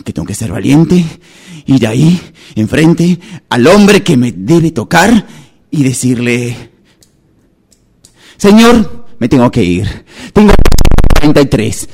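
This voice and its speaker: An anxious, overwhelmed Argentine parent, acted by a man in a radio theatre exercise who frets and repeats worries. The voice is mid-pitched, dark and rather husky. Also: sing-song, takes it slowly, pauses often, heavily acted. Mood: anxious, panicky. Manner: fretful, sighing, repetitive. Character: anxious, breathless, overwhelmed parent stuck waiting in line. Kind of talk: improvised radio theatre monologue.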